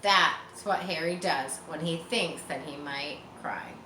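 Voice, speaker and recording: A woman reading a children's story aloud expressively, pausing with chatty explanations for young listeners. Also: low voice. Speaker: storybook reader. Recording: roomy, distant mic, faint background noise.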